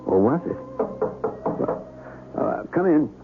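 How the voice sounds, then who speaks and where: An actor playing a rattled, haunted traveler in a 1970s radio drama, talkative and earnest, with a hesitant stammer. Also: male voice, a little high, big pitch swings, takes it slowly, music in the background. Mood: brooding, wondering, then courteous. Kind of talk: 1970s radio drama acting with first-person narration. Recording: tinny, hissy 1970s radio broadcast.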